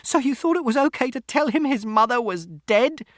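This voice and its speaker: Guy doing an upset protective mum in an audiobook, pitched way up, really emphatic. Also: expressive, normal speed, a bit bright, no echo, clean studio sound. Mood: outraged. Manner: emphatic, emotional. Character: distraught, protective mother arguing with her ex-husband. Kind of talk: audiobook character acting.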